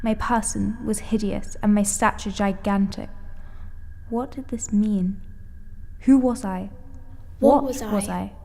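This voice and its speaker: A woman's voice: mid-range voice, steady tone, unhurried, gravelly, darkish tone. A young woman gives a solemn, declamatory dramatic reading as anguished, horrified figures from gothic literature. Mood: anguished, brooding. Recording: echoey hall with background noise.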